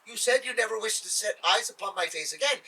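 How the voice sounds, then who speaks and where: A man's voice: sits high, fast talker, pushed and bright, breathy edge, full character voice. A man voicing a cunning male trickster who pleads in flowery, mock-humble words, recorded from a distance. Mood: pleading.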